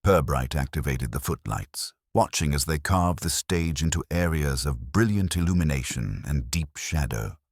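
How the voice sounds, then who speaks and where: A man reading scripted mystery fiction in a measured, formal storytelling manner. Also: very deep voice, expressive, breathy edge, no room sound, studio quality. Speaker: audiobook narrator. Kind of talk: scripted literary mystery narration.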